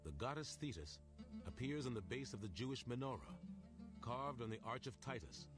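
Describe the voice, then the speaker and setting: A man reading scripted documentary narration in a measured, storytelling way. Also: mid-range voice, animated. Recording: narration over loud music.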